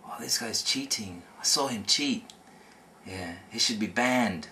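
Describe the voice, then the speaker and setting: A man's voice: fairly low pitch, a little slow, pushed and bright, a little hiss or hum. A man, by birth, answering interview questions casually and hesitantly, with many fillers and restarts. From Romania. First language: Romanian. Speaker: interviewee, competitive Scrabble player.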